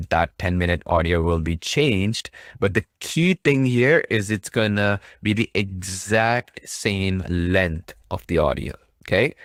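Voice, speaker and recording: A man casually narrating a software tutorial, explaining step by step with repetition and frequent check-in questions. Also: sits low, no room sound, studio quality. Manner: repetitive, explanatory, often checking with "right?" and "okay?". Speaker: tutorial presenter.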